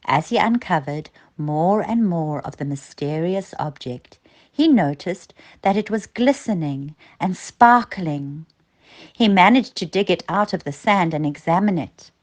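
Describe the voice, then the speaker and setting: A woman's voice: on the low side, lively intonation, measured pace. A woman narrating a children's story as a storyteller, expressively and with dramatic emphasis.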